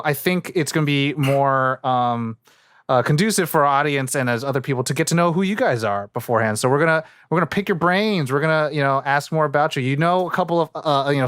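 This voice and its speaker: Smooth-voiced male podcast host, close and dry. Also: a little high, a bit bright, no room sound. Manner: rambling, hesitant, self-interrupting. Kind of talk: casual unscripted podcast introduction and chat.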